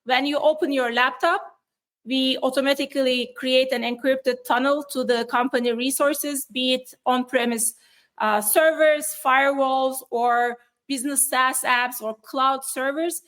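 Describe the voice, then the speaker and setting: A woman with a Turkish accent talks through her work and the technology her company offers. Her voice sits a little high for a woman, and she explains things patiently, often stopping on fillers and starting sentences again. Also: fairly even intonation, a little slow, fairly bright tone. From Turkey. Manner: explanatory, many fillers and restarts. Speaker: cybersecurity executive guest. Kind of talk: interview answers.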